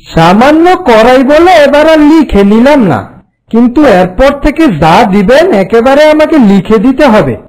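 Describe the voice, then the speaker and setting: A man voicing a polite, sly village trickster in a cartoon folk tale, with a coaxing, smooth-talking way. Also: very high-pitched, very animated, normal speed, darkish tone, rough recording.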